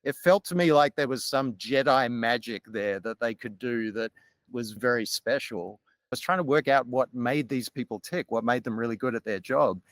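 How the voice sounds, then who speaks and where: Aussie guy on a podcast, middle aged, measured storytelling, dry close mic. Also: medium pitch, dry recording. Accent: Australian. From Australia. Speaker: guest. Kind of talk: podcast interview.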